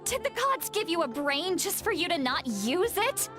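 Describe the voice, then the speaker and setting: A woman's voice: very high-pitched, very animated, normal speed. A woman voicing a youthful-looking granny in an anime game, stern, sarcastic and exasperated but secretly caring. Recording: music behind the voice.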